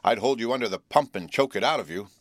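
A man reading aloud and voicing a gruff, surly old journeyman who growls his lines. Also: medium pitch, fast talker, a bit bright, no room sound, studio quality, lightly acted. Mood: growling.

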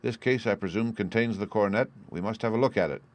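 A man voicing a shrewd gentleman detective in a storybook, brisk, courteous and probing with quiet authority. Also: lowish voice, voice pushed up, close to an everyday voice. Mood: brisk, assured.

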